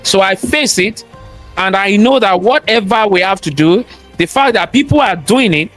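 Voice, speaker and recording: High-voiced Nigerian man, emphatic. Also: animated, normal speed, a bit bright, faint music behind. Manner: emphatic and preachy, repeats key phrases, asks rhetorical questions. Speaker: guest, cybersecurity expert and entrepreneur. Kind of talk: interview conversation, motivational talk.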